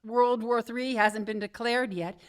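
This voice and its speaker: A woman's voice: on the high side, steady tone, a little slow, clear, resonant tone, lightly acted. A woman acting a sarcastic, wisecracking career woman who has just been fired, in a comedic radio drama. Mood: indignant sarcasm.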